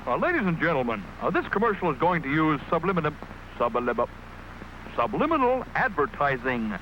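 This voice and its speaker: High, bright, wildly animated American comic pitchman. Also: fairly quick, low-quality recording. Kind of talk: comedic monologue to the audience. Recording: old TV advert, faint noise.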